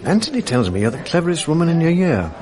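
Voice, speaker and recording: A man's voice: fairly high pitch, huge pitch swings, quick pace, darkish tone, faint music behind. A diffident young freshman who plays down his own talents, his voice rising and falling a lot. A party crowd murmurs behind him. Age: young adult. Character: modest young male freshman and sportsman. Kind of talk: 1980s radio drama acting.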